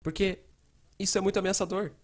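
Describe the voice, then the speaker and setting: A man's voice: high-pitched, fast. A Brazilian man dubbing a frank, casual psychotherapist who explains things in a conversational way and acts out bits of dialogue. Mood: explanatory. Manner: animated, acts out quoted dialogue, casual swearing. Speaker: fan dubber voicing a mental health educator. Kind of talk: dubbed conversational explainer talk.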